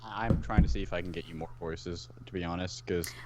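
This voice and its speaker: A man's voice: sits low, fairly even intonation, brisk, heavy background noise. A man talking casually as a guest on a podcast. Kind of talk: casual chat.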